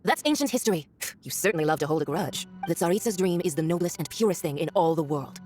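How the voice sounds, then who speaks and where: A woman's voice: lowish voice, animated, quick pace, a little mellow, faint music behind, close to an everyday voice. A woman voicing a haughty, mocking villainous diplomat in a video game, condescending and theatrical. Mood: dismissive.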